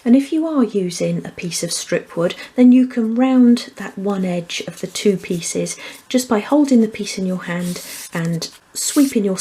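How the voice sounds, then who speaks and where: A woman's voice: on the low side, warm tone, slight background noise, low-quality recording. A woman presenting a hands-on craft tutorial, explaining each step methodically. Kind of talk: hands-on step-by-step instructional demonstration.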